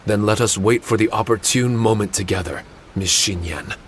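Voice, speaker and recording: A man's voice: on the low side, slight background noise, lightly acted. A man voicing a small, earnest, courteous paper spirit in a video game, formal and self-blaming. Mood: resolved. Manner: formal, measured phrasing. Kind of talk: video game cutscene acting.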